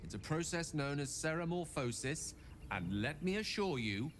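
An eloquent wizard. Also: male voice, fairly high pitch, very animated, moderate pace, slight background noise. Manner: eloquent, formal, theatrical. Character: courteous verbose male wizard, middle-aged.

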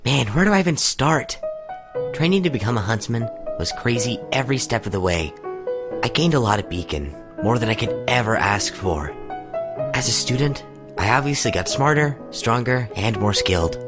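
A man's voice: mid-range voice, expressive, normal speed, natural voice. An actor playing an earnest, geeky young male huntsman student in an audio drama. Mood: nostalgic, wistful. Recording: music under the voice.

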